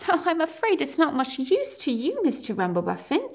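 A woman's voice: sits high, wildly swooping pitch, a little fast, voice pushed up, exaggerated voice. A woman doing a sweet, eager little girl. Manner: eager, polite. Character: sweet kind young girl.

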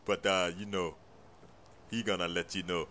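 A man voicing a scheming male gang member who taunts a captive in a low, sly voice. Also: level tone, moderate pace, sharp tone, a little hiss or hum. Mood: taunting.

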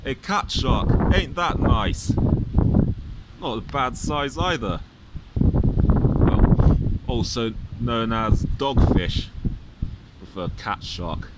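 A man's voice: medium pitch, extremely slow, long pauses. A man, talking casually to his phone as he fishes on a pier, matter-of-fact and often correcting himself. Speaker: angler vlogger. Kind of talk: casual solo commentary. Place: outdoors on a windy seaside pier. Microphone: handheld phone. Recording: loud wind noise on the mic.

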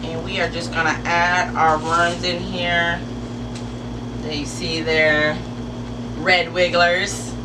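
A woman hosting an informal step-by-step how-to demonstration in a deliberate, instructional way, picked up by a camera a few metres away. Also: fairly low pitch, level tone. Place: enclosed porch or sunroom. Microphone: camera mic a few metres away. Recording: distant mic, clearly noisy with background hum.

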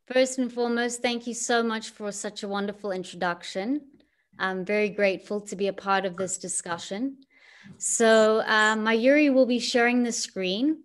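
A woman from Zimbabwe giving a webinar talk, telling her own story with earnest rhetorical questions over a video call. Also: mid-range voice. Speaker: guest speaker, entrepreneur. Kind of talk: webinar presentation.

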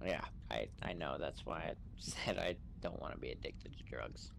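A man's voice: extremely low pitch, moderate pace, gravelly, noisy background. A man talking casually in character in an online role-playing game over a voice chat microphone.

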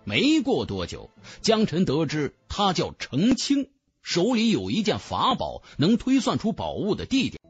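Male audiobook narrator, dramatic fantasy storytelling, very animated intonation, raspy breathy voice, background music. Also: on the high side, measured pace, highs cut off.

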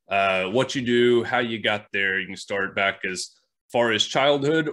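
A man's voice: medium pitch, sharp tone. A middle-aged man hosting an interview over a video call from an indoor workshop, upbeat and casual with many uhs. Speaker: podcast host. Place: indoor workshop with wood stacks.

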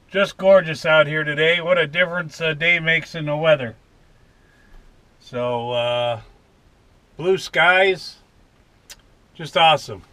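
Slow-talking American man, bright voice. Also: a little high, no echo. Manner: folksy, unhurried. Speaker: vlogger. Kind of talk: casual unboxing vlog. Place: inside a parked truck cab.

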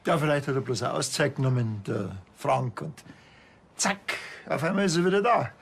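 An actor playing a dry, offhand small-town police officer with a Bavarian accent in a TV crime comedy. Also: male voice, mid-range voice, lively intonation, moderate pace, gravelly, husky. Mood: dry, probing. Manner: offhand, laconic.

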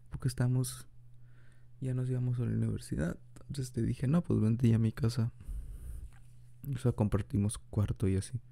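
A man's voice: on the low side, vocal fry, dark tone. A young man improvising an ASMR boyfriend roleplay, rambling chattily and laughing often into a close mic. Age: young adult.

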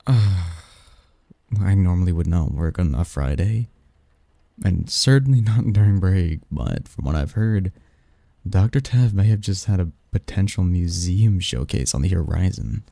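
A man's voice: low voice, animated, creaky, dry recording, exaggerated voice. A man acting a snarky, cynical young research assistant in a scripted ASMR roleplay, with a wry, pedantic manner. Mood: teasing, smug. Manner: wry, pedantic, halting mumbles and soft chuckles.

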